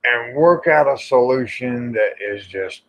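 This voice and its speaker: A man's voice: mid-range voice, expressive, unhurried, fairly bright tone. A folksy, plain-spoken American man chatting casually and rambling to viewers on a livestream. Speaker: livestream host. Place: outdoors in the evening. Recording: dull lo-fi livestream audio.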